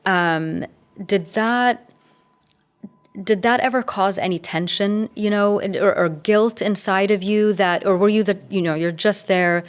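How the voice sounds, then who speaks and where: A woman's voice: medium pitch, unhurried, smooth. A woman hosting a podcast, asking thoughtful, hesitant questions in a conversational interview. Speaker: podcast host.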